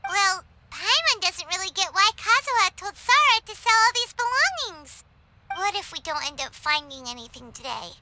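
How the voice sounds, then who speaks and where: A woman voicing a tiny, excitable fairy girl companion with a childlike, squeaky voice in a video game. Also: moderate pace, heavy background noise. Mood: worried. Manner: speaks of herself in the third person, exclaiming.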